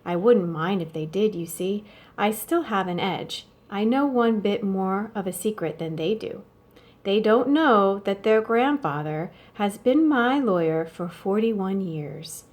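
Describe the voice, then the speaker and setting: A woman's voice: medium pitch, animated, unhurried, a little mellow, close to an everyday voice. A woman voicing a wry, bossy old wealthy woman from a storybook in a dry, matter-of-fact way. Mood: wry, imperious. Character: wry, imperious old wealthy woman dictating her wishes.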